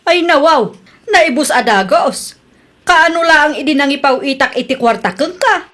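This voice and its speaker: A woman's voice: high voice, lively intonation, moderate pace, a bit bright. A woman acting a bossy, exasperated wife and mother who runs the household money in a radio drama. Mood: busy, dutiful.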